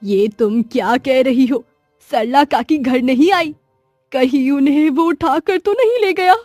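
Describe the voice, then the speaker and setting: Woman acting fearful high-voiced village auntie. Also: measured pace, a little mellow, dry recording, clean studio sound, soft background music. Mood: alarmed. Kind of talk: Hindi children's cartoon story acting.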